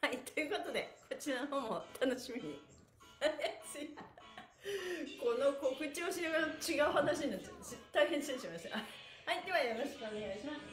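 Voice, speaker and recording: A woman's voice: sits high, lively intonation, moderate pace, soft background music. A middle-aged woman leading a live-streamed stretch class, chanting counts cheerfully and chatting with viewers. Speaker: stretch instructor. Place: small indoor studio room. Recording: echoey room.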